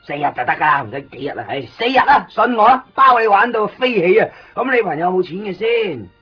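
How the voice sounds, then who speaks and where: A teasing chatty young hong kong cantonese dude, 90s radio play, kinda high voice, music behind. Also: male voice, fast talker, husky, full character voice. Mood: boastful. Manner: teasing, animated, slangy. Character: playful, chatty, cheeky young man eager to help. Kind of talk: 1990s radio drama acting. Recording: dull 1990s radio recording with music.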